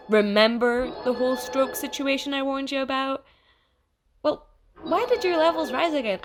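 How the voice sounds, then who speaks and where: A woman playing a chatty, sarcastic, easily flustered AI program in an audio drama, talking casually and stammering when rattled. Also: a little high. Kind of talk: audio drama monologue in casual second-person address.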